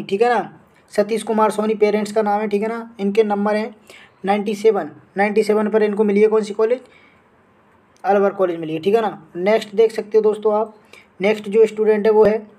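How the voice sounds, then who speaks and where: Indian man, tutorial host, informal explaining, repetitive check-in tags, soft dark tone, flat intonation. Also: high voice. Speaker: host of an educational update channel. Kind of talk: informal screen-share tutorial. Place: voice-over on a phone screen recording.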